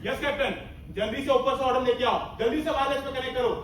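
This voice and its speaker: A man's voice: very high voice, fairly even intonation, quick pace, a little hiss or hum. Young guy playing a principled bossy army major on stage, theatrical, big echoey hall, far off. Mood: commanding. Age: young adult. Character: stern, principled male army major in command. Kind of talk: amateur stage play acting in Hindi. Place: stage in a hall, distant microphone.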